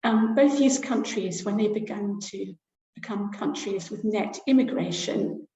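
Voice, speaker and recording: A woman's voice: medium pitch, unhurried, warm tone. Hesitant woman lecturing on a roomy webinar. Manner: hesitant, many fillers, thinking aloud. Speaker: university lecturer presenting research. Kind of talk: academic webinar talk. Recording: roomy, dull lo-fi webinar audio.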